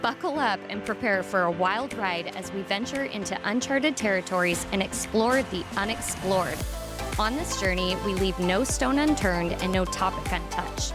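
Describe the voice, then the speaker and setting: An upbeat woman hosts a podcast, moving from a scripted intro into relaxed talk with her guest. She laughs often and gives quick agreeing replies. Her pitch sits in the middle range, and clear music plays behind her voice. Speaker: podcast host. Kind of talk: scripted intro, then casual chat.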